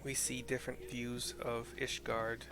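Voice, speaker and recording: Man, medium pitch, casual scene description, halting, searching for words, music clearly underneath. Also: level tone. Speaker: video game streamer. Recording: clear game music behind voice. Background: game music.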